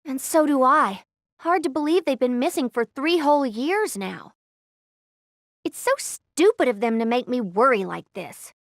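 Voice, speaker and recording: A woman's voice: high-pitched, lively intonation, unhurried, no echo, clean studio sound. A woman voicing a brash, protective young girl in an anime game, blunt and exasperated. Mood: concerned.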